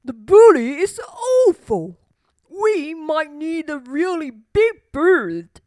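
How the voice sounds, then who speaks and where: Storybook elephant hero played by a woman: high voice, very slow, polite, firm, close dry sound. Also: big pitch swings, a little mellow, no room sound, full character voice. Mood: firm, planning.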